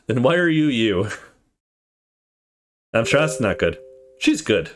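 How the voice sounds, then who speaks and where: A man's voice: medium pitch, very animated, takes it slowly. A man hosting a let's play, casually commenting and reading visual novel dialogue aloud in an informal, off-the-cuff way. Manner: informal, sweary, reacts off the cuff.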